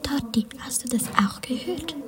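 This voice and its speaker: Lady doing a brainy tiny gnome guy in a soft whisper, highish, mic far off with a crowd around. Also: normal speed, natural voice. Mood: curious. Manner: whispered, questioning. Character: clever small male blue gnome with glasses.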